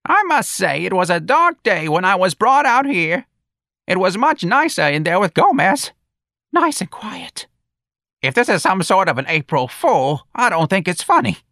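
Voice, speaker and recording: A man voicing a very old, senile, cranky woman with a croaky, piping voice, in an audiobook story. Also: high voice, sing-song, moderate pace, bright, forceful tone, no room sound, clean studio sound, voice pushed up, heavily acted. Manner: indignant, wild outbursts.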